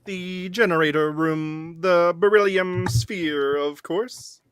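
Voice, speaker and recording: A woman's voice: on the low side, fairly even intonation, slow, clean tone, dry recording. A woman playing an earnest, courteous male alien leader in a radio theatre read, speaking formally and ceremoniously. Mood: solemn gratitude.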